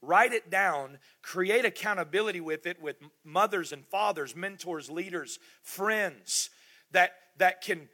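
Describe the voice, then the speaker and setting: Emphatic preacher with a bright voice. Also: male voice, high voice, unhurried. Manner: emphatic, repetitive preaching with rhetorical questions. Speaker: pastor. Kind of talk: sermon.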